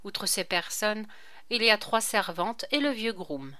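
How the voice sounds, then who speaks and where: Woman, audiobook reader, bright sharp tone, smooth resonant voice, expressive letter reading. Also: medium pitch, measured pace.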